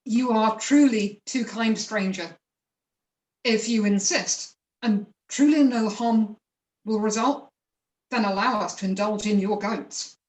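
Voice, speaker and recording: Woman as slow-spoken peasant farmer, echoey. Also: medium pitch, darkish tone, lightly acted. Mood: humbly grateful. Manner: measured, deferential, hesitant. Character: strict yet humble, polite peasant farmer parent. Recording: roomy echo, distant mic.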